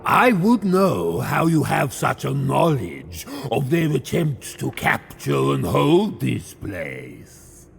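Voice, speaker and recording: An actor playing a proud, defiant warlord devoted to a plague god in a video game, declaiming in a grandiose, deliberate way with a gritty voice. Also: male voice, mid-range voice, huge pitch swings, creaky, a little hiss or hum, heavily acted. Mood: suspicious, brooding. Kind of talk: video game cutscene acting. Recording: game dialogue over musical score.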